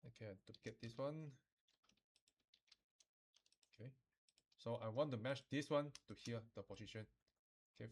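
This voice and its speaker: A man giving an informal, colloquial walkthrough as a software tutorial instructor. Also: medium pitch. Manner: colloquial, with sentence-final particles and Chinese-English code-switching. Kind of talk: informal screen-recorded software demo.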